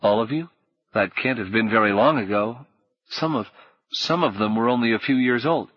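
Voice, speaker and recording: A man playing an anxious, protective young man who speaks low, urgent and earnest. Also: normal speed, breathy edge, no room sound, highs cut off, natural voice. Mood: puzzled.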